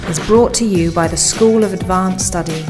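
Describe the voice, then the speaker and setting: A woman's voice: on the low side, moderate pace. Woman announcer over music. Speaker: podcast announcer. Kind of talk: scripted announcement.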